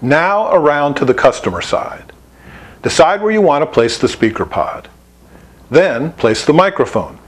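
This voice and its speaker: A man's voice: fairly high pitch, big pitch swings, a little slow. A man giving a scripted instructional narration, explaining each step plainly as an instructor. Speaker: instructor presenting an installation tutorial.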